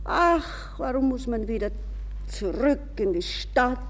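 A woman's voice: on the high side, lively intonation, takes it slowly, in a large hall. A woman playing a restless, ironic young society lady, musing to herself in a radio drama monologue. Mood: wistful. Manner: musing, self-questioning, shifting between reverie and mockery.

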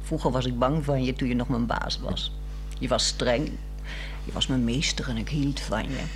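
A woman's voice: very deep voice, moderate pace. A woman acting a yearning, coaxing wife who speaks frankly in a 1960s radio drama. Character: restless, yearning wife, coaxing and frank, craving new romance. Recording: 1960s radio play, music behind.

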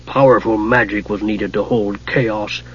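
A man's voice: lowish voice, fairly even intonation, measured pace, husky, muffled recording, voice pushed down. A man voicing a tall, horned, contemptuous bull god with a growl in a fantasy audiobook. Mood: growling blame.

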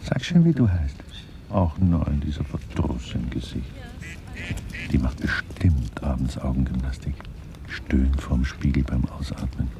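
A man's voice: very deep voice, animated, a little slow, vocal fry, background noise, heavily acted. An actor in a radio play voicing a leering man who muses to himself in an insinuating drawl. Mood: musing. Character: leering, lecherous man muttering at passing girls. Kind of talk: radio play inner monologue.

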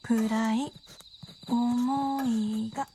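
An uncanny, self-assured wife in a radio drama. Her voice is slightly high, composed and polite. There is clear music behind. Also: fairly even intonation, extremely slow, dark tone. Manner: polite, composed feminine speech.

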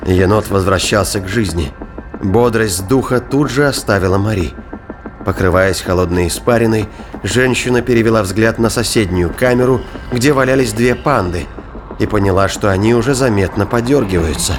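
A man's voice: on the low side, normal speed, background noise. A man reading scripted dramatic narration for a sci-fi thriller audio drama. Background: music.

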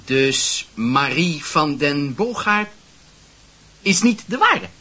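A man playing a teasing, worldly schoolmate in a radio drama, with a husky voice and a drawling, mocking, pompous manner. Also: fairly high pitch, big pitch swings, slow pace, sharp tone, exaggerated voice.

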